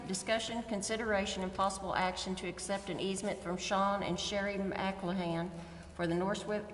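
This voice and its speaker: An American woman with a mid-range voice chairs a formal council meeting. She reads procedural items in a businesslike manner, with strong room echo and some noise around her. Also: medium pitch. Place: council chamber. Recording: echoey meeting hall, noticeable background noise.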